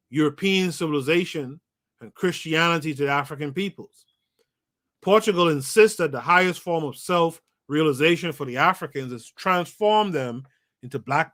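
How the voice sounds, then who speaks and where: A man's voice: fairly high pitch, fairly bright tone. A man hosting a podcast livestream, reading a book aloud and breaking off to comment, with an emphatic delivery that repeats phrases for effect and speaks to his live chat. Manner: talks to a live chat audience. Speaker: podcast host.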